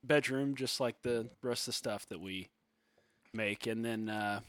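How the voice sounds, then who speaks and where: A soft-spoken man in his thirties chats on a podcast in a fairly high, gentle voice. He rambles, restarts his sentences and leans on fillers. The sound is close and dry, with no room to it. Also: measured pace, no echo. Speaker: musician and podcast co-host. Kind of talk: casual podcast chat.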